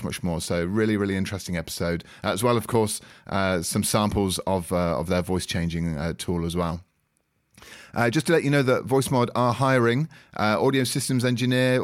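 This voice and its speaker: A man's voice: fairly low pitch, no room sound, clean studio sound. A man hosting a podcast, introducing the show and interviewing guests in a conversational way. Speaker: podcast host.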